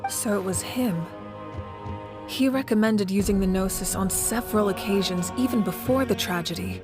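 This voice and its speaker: An actress playing a confident female warrior leader in a video game, speaking in a calm, measured and assured way. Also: medium pitch, music in the background, natural voice. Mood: reflective. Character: composed female warrior leader, confident, resolute and fair-minded.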